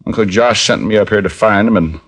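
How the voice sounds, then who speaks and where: An actor playing a detective who puts on a bumbling country bumpkin from rural Arkansas, folksy and halting, in a 1950s radio drama. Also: male voice, on the low side. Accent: put-on rural Arkansas drawl. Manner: halting, folksy, full of uh's.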